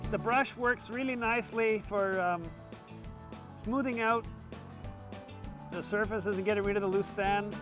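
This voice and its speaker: A man with a notably high voice talks through his craft in a casual, hands-on way. He is a sand sculptor showing tools and technique, with music clearly playing behind him. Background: background music.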